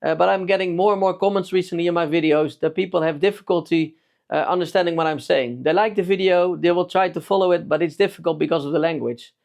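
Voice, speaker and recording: A man's voice: fairly high pitch, fast talker, vocal fry, darkish tone. A man with a Dutch accent, a native Dutch speaker, giving a casual tutorial as a DIY video maker. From Netherlands.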